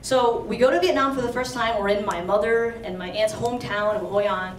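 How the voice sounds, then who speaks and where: A woman's voice: a little high, brisk, distant mic, a little hiss or hum. A young woman from Virginia telling a true story to a live audience, chatty and conversational. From Alexandria, Virginia, USA. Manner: chatty and conversational, full of "like" and "you know". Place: live storytelling event in a roomy venue. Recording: roomy live venue, voice from off-mic.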